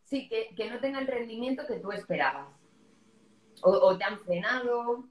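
A woman's voice: on the high side, normal speed. A woman from hosting a casual live interview, talking in an informal, explanatory way. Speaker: host of a live interview show. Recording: echoey room sound.

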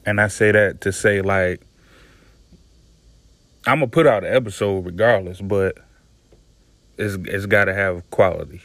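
A man's voice: sits low, unhurried, pauses often, a bit bright, no echo. A man hosting his own podcast in a casual, laid-back way, speaking with a soothing voice, swearing freely and often saying "you know what I'm saying". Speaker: podcast host. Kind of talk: casual solo podcast commentary.

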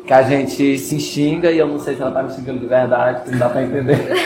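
A man's voice: mid-range voice, very animated, a little fast, crowd noise. A man chatting on a podcast, giving rambling, jokey opinions. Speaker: podcast panelist. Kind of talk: casual chat.

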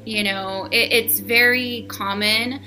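A woman's voice: on the high side, fairly even intonation, slow, bright, forceful tone, low-quality recording. A woman from the US and Argentina, chatting as a podcast guest with frequent laughs and fillers. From United States and Argentina. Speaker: guest, paranormal investigator. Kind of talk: casual interview chat.

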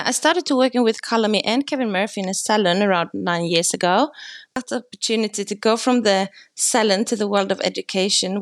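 Medium-pitched woman from Norway as podcast guest. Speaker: guest hair colour educator. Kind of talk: podcast interview answers.

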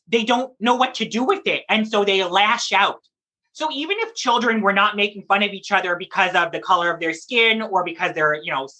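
Bright-voiced drag performer speaking as interview guest. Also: mid-range voice, poor audio. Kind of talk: conversational interview answers.

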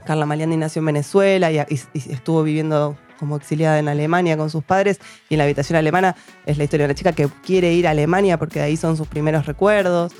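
A woman's voice: low-pitched, fairly even intonation, fast, few pauses, a little mellow, soft background music. A woman co-hosting a book podcast, talking in a thoughtful, hesitant way with many restarts. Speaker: podcast co-host reviewing books. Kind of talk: casual book chat.

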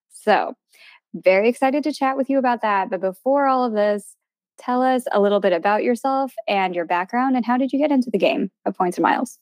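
Woman podcast host, higher voice, upbeat friendly delivery, dry close mic. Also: a little mellow, no echo. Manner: upbeat and friendly, reads ad copy smoothly. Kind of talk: podcast intro and interview.

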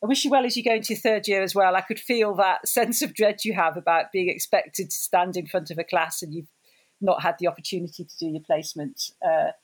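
A woman with a slightly low voice hosts an interview. She sounds encouraging and affirming, and she often praises her guest and sums up what the guest has said. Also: a little fast, low-quality recording. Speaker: interviewer, host. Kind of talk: supportive interview.

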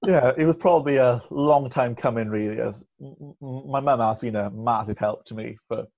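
A man's voice: mid-range voice, normal speed, vocal fry, a little mellow. A young man in his twenties, a podcast guest speaking candidly with a stammer, blocking on and repeating sounds. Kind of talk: candid conversational interview. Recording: muffled lo-fi audio.

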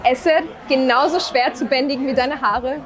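A young woman interviewing a guest, asking prepared questions in measured, deliberate phrasing. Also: sits high, fairly even intonation, a crowd in the background. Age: young adult.

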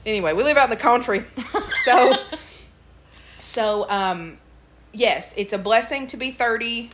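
A chatty woman, co-hosting a relaxed podcast. She talks freely and breaks into laughter often. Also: fairly high pitch, a little slow, noisy background. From West Tennessee. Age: 30s. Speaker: podcast co-host. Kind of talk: casual chat.

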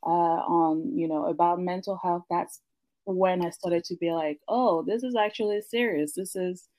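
Low-voiced woman from Africa, reflective. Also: unhurried, mellow, no room sound. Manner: thoughtful, many fillers and restarts. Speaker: guest. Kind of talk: casual panel chat.

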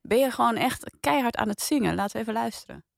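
A woman's voice: fairly high pitch, lively intonation, fast talker, creaky, no room sound, studio quality. A woman with a Netherlands Dutch accent, hosting a casual podcast chat in a teasing, probing way. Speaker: podcast host and journalist.

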